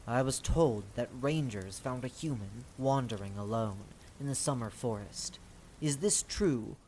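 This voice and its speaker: A reader acts out a fae lord of the hunt in an audio drama. The delivery is formal and stately, commanding yet courteous, in a middle-pitched voice. Clear noise sits behind it. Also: measured pace, darkish tone, lightly acted, poor audio. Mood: stern. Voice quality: deep.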